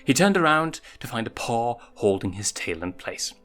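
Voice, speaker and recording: A man's voice: mid-range voice, very animated, normal speed, fairly bright tone, faint music behind. A man narrating a story, reading scripted fiction aloud.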